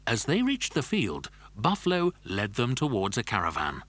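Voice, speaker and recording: A man's voice: medium pitch, huge pitch swings, moderate pace, a bit bright. A man narrating a scripted children's adventure story.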